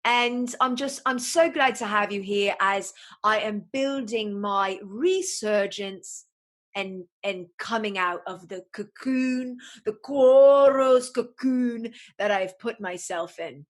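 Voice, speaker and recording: A woman podcast host speaks at a medium pitch in a put-on British accent. Her delivery is theatrical and playful, sprinkled with terms of endearment, in the style of a casual, chatty show. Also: slow pace. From New England, USA. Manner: theatrical, playful, peppers talk with endearments like love and darling.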